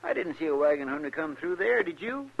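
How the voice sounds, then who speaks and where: A folksy, anxious western deputy with a rural American drawl and a slightly high voice. He is deferential and full of questions, in 1950s radio style. The old recording is dull with faint noise. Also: big pitch swings, a little fast, vocal fry. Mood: earnest, uneasy.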